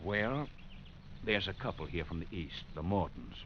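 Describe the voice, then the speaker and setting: An American actor playing a folksy, blustering, anxious guest-ranch owner with a hearty, fussy manner in an old radio drama. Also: male voice, low-pitched, lively intonation, moderate pace. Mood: anxious, pleading. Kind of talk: 1940s-50s American radio drama acting. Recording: dull, noisy vintage radio recording.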